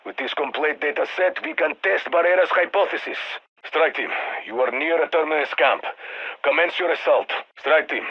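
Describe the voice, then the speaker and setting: A battle-hardened Russian commander issues clipped, formal mission orders over a muffled radio. His voice is fairly high for a man, with a heavy gravelly rasp and a Russian accent. He sounds stern and commanding, like a military handler in a video game. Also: normal speed.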